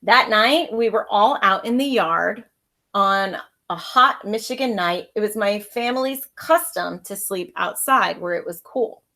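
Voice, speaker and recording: A woman reads a children's story aloud in the manner of a schoolteacher. Her voice sits at a middle pitch, and her delivery is expressive storytelling aimed at young listeners. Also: measured pace. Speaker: elementary school teacher reading aloud.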